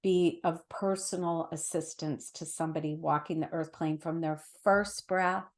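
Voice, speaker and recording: A woman's voice: low-pitched, steady tone, normal speed. A middle-aged woman giving conversational spiritual teaching as an interview guest, earnest and emphatic, explaining with everyday examples.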